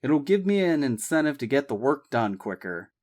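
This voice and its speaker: A man voicing a confident ex-military man who speaks in an even, steady way. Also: mid-range voice, normal speed, pitched above the performer's own voice.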